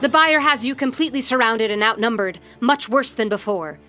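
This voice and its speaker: A woman's voice: a little high, pushed and bright, soft background music. A woman acting a game master who narrates theatrically and voices a smug, menacing villain in an audio drama. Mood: smug menace. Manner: theatrical, measured storytelling.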